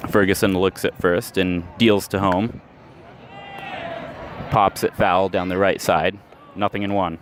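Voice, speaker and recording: A lower-voiced man calls a baseball game pitch by pitch, weaving stats into a steady, measured radio delivery. He was recorded live at an outdoor ballpark, and the open-air ambience and traffic come through clearly behind him. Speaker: play-by-play announcer. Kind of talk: baseball radio play-by-play commentary.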